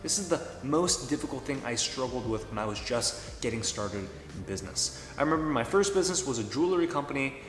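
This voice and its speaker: Lively man in an echoey hall. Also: mid-range voice, brisk, few pauses, soft background music. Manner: emphatic, spells out slogans. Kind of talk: motivational business talk. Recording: echoey room with long reverb.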